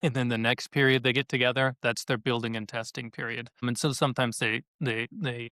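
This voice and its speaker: A man's voice: medium pitch, steady tone, fairly quick, bright, forceful tone, no echo. Dry-sounding man chatting as a guest. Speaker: podcast guest, educational activity creator. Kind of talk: conversational interview answers.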